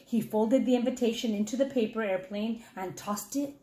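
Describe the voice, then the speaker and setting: A woman reading a children's story aloud as a teacher, dramatic and deliberate for young listeners. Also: a little high, dark tone. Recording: echoey, roomy sound.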